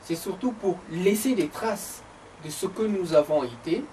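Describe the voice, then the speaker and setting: A man from the DR Congo in a casual conversation who speaks in a measured, reflective way with hesitant pauses. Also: high-pitched, warm tone, slight background noise. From Democratic Republic of the Congo. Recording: distant mic with faint ambience.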